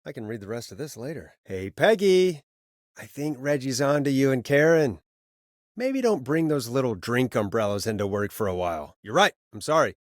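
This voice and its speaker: A man's voice: medium pitch, very animated, moderate pace, no room sound, clean studio sound, natural voice. A man playing an earnest radio host in a video game, conversational and naturalistic, guiding people through emergencies. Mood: wry, exasperated.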